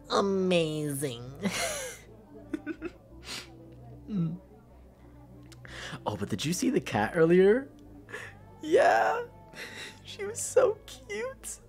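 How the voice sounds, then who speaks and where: A young man playing a caring, teasing, shy best friend in an intimate ASMR roleplay, speaking softly and hesitantly. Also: high-pitched, wildly swooping pitch, drawn-out words, long pauses, faint music behind, full character voice. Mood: amused, affectionate. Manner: soft, hesitant, stammering, breathy sighs and chuckles.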